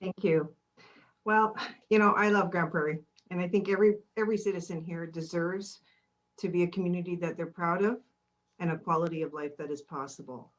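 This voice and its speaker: Woman candidate, eager and earnest, low-pitched. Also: steady tone, a little fast, dull audio. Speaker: council candidate. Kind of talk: candidate speech at a forum.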